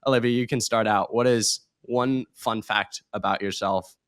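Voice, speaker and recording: A man hosting a podcast, asking questions and telling stories in a relaxed, rambling way. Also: on the low side, normal speed, dry recording, clean studio sound. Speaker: podcast host. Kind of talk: casual interview.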